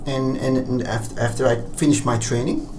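A man from Turkey with a Turkish accent answers interview questions conversationally as a doctor, haltingly and often repeating words. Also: mid-range voice, noisy background. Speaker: interview guest, pediatric oncologist.

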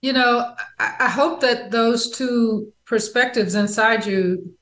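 A woman guest on a radio talk show, speaking emphatically and affirming often, sometimes in quick bursts. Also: on the high side, a bit bright. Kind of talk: casual conversation. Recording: echoey room sound.

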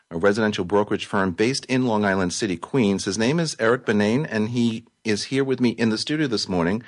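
A man hosting a live talk radio show, his voice hoarse and raspy, reading news items and chatting with a guest. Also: lowish voice. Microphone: studio microphone.

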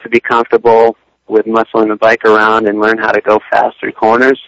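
A man being interviewed as a motorcycle racer, laid-back and halting, laughing now and then. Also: fairly low pitch, completely flat delivery, vocal fry, fairly bright tone, no room sound, lo-fi sound. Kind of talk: radio interview.

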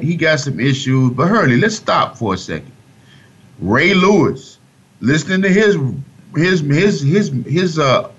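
A man's voice: sits high, fairly bright tone, lo-fi sound. A man co-hosting a sports talk radio show, speaking casually and emphatically in slangy speech. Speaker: radio co-host.

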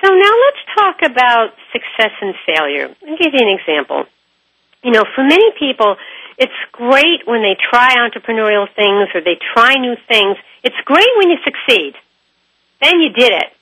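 A woman's voice: a little high, big pitch swings, normal speed, a bit bright, no echo, low-quality recording. A woman hosting a conversational interview, introducing and questioning her guest in a broadcast style. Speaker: interview host.

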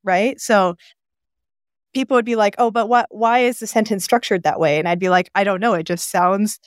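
A woman's voice: mid-range voice, normal speed, no echo, clean studio sound. A woman in her thirties, raised in Japan, talking as a guest on an interview podcast, explaining things at length in a thoughtful, easygoing way. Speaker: guest, venture investor. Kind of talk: casual interview conversation.

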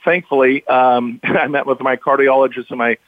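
Male interview guest, raspy and a touch hoarse, earnest, tinny phone-line sound. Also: mid-range voice, steady tone, moderate pace, no echo. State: recovering from illness, slightly hoarse. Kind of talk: remote interview.

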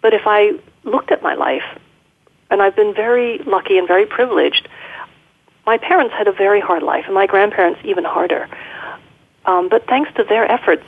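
A middle-aged woman speaking as an interview guest, reflective and measured, over a narrow phone-like line. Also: medium pitch, expressive, husky. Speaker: guest, leadership coach. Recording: muffled, band-limited phone-like line.

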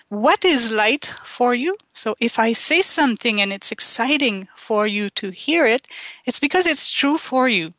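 A woman from Quebec with a Quebec French accent, French her first language, hosting a call-in radio show in a halting way, with many ums and restarts. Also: on the high side, fairly bright tone, no room sound. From Quebec, Canada. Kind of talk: slow, hesitant call-in radio talk.

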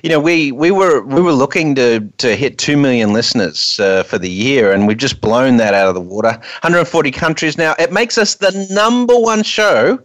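A man's voice: mid-range voice, normal speed, few pauses, fairly bright tone, no echo. A man hosting an unscripted radio show from a studio, often restarting and repeating words as he goes.

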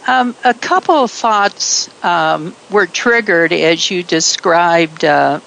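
A middle-aged woman talks at a slow, unhurried pace, her voice sitting a little low. She reflects aloud in conversation, pausing often and reaching for her words. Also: vocal fry, a bit bright, slight background noise, low-quality recording. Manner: slow, hesitant, with many fillers.

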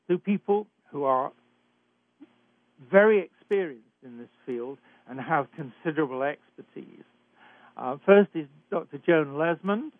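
A man's voice: a little high, no echo. British man hosting talk radio, phone-thin. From Britain. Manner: measured, emphatic, some hesitations. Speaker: radio show host. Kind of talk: hosted talk radio introduction. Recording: dry, phone-like narrow sound.

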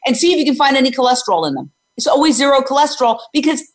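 High-voiced, energetic woman in her sixties. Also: very fast. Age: 60s. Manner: emphatic, highly energetic, asks and answers own questions. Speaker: host; former news reporter and animal rights activist. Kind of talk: energetic interview and advocacy talk.

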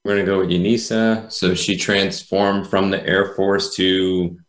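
A man with a low voice speaks as co-host in a roomy, echoing space. He gives rambling, informal introductions. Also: flat delivery, a little slow.

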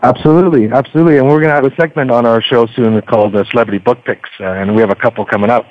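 A man's voice: medium pitch, steady tone, very fast, muffled recording. A man speaking as a podcaster guest in a casual interview, telling anecdotes in a chatty, hesitant way. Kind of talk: casual, conversational interview talk.

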